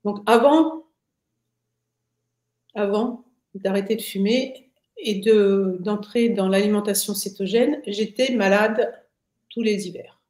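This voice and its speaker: A woman of sixty-six gives a personal account in conversation, often halting to find her words. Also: medium pitch, darkish tone. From Paris, France. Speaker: interview guest, retiree. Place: indoors, plain room on video call. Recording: roomy, echoing video call.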